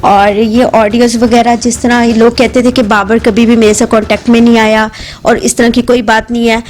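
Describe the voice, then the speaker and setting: High-voiced Pakistani woman giving an interview. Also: level tone, normal speed, darkish tone, a little hiss or hum. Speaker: interview guest. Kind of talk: explaining her side in an interview.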